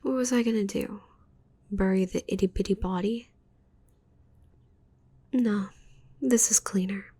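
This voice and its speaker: A woman's voice: mid-range voice, mellow, husky. A woman playing a possessive, doting yandere witch in an ASMR roleplay, whispering breathily and intimately with sultry, gloating teasing. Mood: gloating, hushed. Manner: slow, intimate, teasing delivery.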